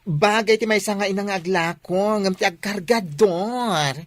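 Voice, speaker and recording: A man's voice: high-pitched, measured pace, dry recording. Doting, fussing husband, acted by a man. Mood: fretful, fussing. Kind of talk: Ilocano radio drama acting.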